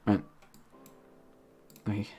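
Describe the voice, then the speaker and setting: A man hosting a livestream, chatting casually in a relaxed, rambling way and laughing often. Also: very slow, faint music behind. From United Kingdom. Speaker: streamer host.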